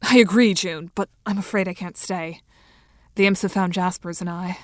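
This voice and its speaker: A woman's voice: fairly low pitch, fairly even intonation, normal speed, no room sound, studio quality, soft background music, close to an everyday voice. A woman playing a composed, formally spoken girl in an audio drama. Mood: serious.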